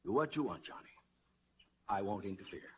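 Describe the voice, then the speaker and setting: A man's voice: lowish voice, expressive, moderate pace, fairly bright tone, slightly rough, full character voice. An actor playing a worried, middle-aged father with a hesitant, fatherly manner in a 1940s radio drama. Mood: gentle, resigned. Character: worried middle-aged father, cautious small-town politician, gently resigned. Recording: noisy vintage 1940s radio broadcast.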